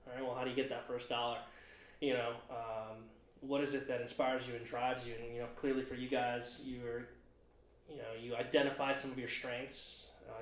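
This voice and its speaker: A man in his twenties hosting a casual podcast chat, rambling and thinking aloud with lots of fillers. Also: medium pitch. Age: 20s. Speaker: podcast host. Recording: roomy echo.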